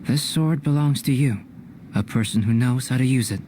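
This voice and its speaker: An actor playing a calm, scholarly young alchemist in a video game, speaking in a measured, formal, detached way. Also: male voice, medium pitch, natural voice. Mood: calm, assured. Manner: measured, formal, analytical delivery. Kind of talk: scripted video game cutscene acting. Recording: clear noise behind the voice.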